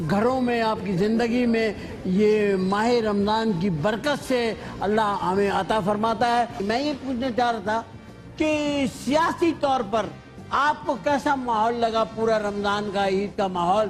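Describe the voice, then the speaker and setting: A man with a very high voice hosts a festive talk show, teasing his guests and cracking jokes. Music plays clearly beneath his talk. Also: unhurried. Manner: joking, teasing. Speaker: host. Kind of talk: comic talk show banter.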